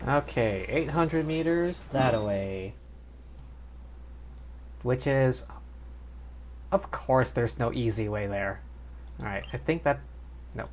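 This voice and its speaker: A man's voice: medium pitch, animated, slow pace, pauses often, a little mellow, a little hiss or hum. A man streaming a video game with casual live commentary, talking to viewers as he plays.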